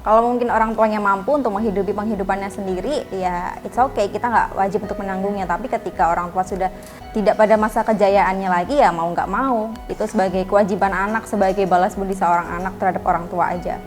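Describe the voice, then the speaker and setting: A woman's voice: medium pitch, fairly even intonation, quick pace, soft background music. A woman in her early twenties giving casual answers in an interview. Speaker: legal compliance worker being interviewed.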